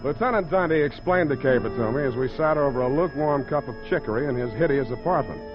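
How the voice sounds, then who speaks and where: A man plays a hard-boiled American detective in an old radio drama. He is sardonic and clipped, with a mid-pitched voice. The sound is muffled, with loud music behind. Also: fast, natural voice. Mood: wry, narrating. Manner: sardonic, clipped, flowery narration. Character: wry, tough, wisecracking male private detective. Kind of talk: 1940s radio acting and narration.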